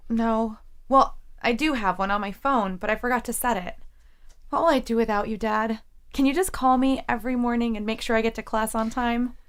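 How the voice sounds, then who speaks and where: A woman's voice: on the high side, moderate pace, clean tone, close to an everyday voice. A woman playing a naive, earnest seventeen-year-old university freshman in an audio drama, casual and girlish. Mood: pleading, affectionate.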